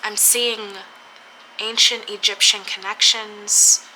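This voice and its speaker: A woman reading tarot cards for her viewers, speaking slowly in a dreamy, unhurried way with soft pauses. Her voice sounds a little stuffy from a cold. A steady hum of background noise sits under the recording. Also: mid-range voice, rough recording. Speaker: tarot reader. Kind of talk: spiritual tarot reading for camera.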